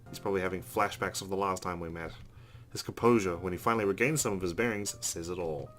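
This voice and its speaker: A man's voice: deep voice, quick pace, fairly bright tone. A man hosting a let's play, reading visual novel narration aloud. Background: game music.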